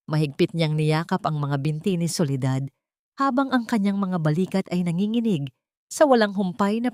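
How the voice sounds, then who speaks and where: A woman's voice: fairly low pitch, a little mellow, no room sound, clean studio sound. A woman narrating a sentimental audio drama story from a script in a solemn, emotive way.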